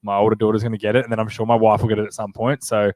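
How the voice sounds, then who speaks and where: A man's voice: on the low side, little pitch movement, a little mellow, no room sound. A man in his thirties with an Australian accent, hosting a casual sports podcast, sounding nasal from a cold. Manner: chatty and upbeat, quick asides. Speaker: podcast host.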